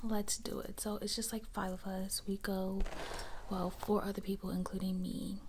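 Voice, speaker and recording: A woman in her twenties whispering an ASMR bedtime story in a casual, hesitant, conversational way with a breathy voice. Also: mid-range voice, level tone, normal speed, noisy background. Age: 20s. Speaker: ASMR creator telling a story.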